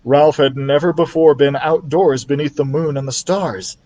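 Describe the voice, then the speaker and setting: Higher-pitched man reading a children's story. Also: moderate pace, dark tone. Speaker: library storyteller. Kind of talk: expressive children's audiobook-style narration.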